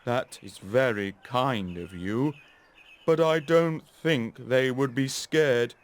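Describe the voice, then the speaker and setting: A storyteller voicing a gentle, kind male scarecrow in a children's story, soft and warm-hearted. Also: medium pitch, slow pace, natural voice.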